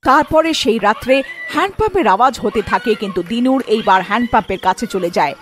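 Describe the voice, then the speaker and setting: A woman's voice: a little high, animated, fast, fairly bright tone. A woman narrating a scripted cartoon fairy tale.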